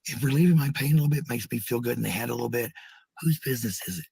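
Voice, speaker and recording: A woman with a very raspy, unclear voice talks casually to her followers. She is defensive and blunt, and she swears freely. The sound is dry, with little room to it. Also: a bass voice, racing, dark tone, no room sound. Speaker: livestreamer.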